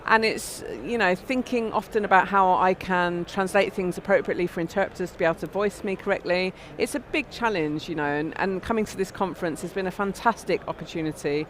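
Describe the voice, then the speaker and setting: Man, sign language interpreter, high voice, measured and halting, faint noise behind. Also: fairly bright tone. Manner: measured, slightly halting first-person interpreting. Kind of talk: voicing a signer in an interview.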